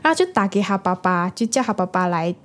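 Mid-pitched woman storytelling. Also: fast. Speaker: podcast host. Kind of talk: casual storytelling chat.

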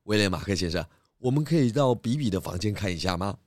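Man acting as a lively low-voiced detective. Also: fast, dry recording, studio quality, lightly acted. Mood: polite, inquisitive. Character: courteous male pig detective investigating a mystery. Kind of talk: children's audio mystery drama. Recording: music behind the voice.

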